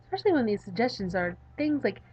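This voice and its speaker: A woman voicing an exasperated adult male game developer in a visual novel, deadpan and condescending. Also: fairly high pitch, fast talker, husky, lo-fi sound. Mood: stern.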